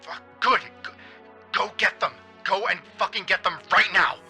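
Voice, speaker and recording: A man voicing an irritated, foul-mouthed, urgent caller in a tabletop roleplay, sharp and creaky, with an impatient manner. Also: sits high, moderate pace, faint music behind, exaggerated voice. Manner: impatient, sighing, swearing.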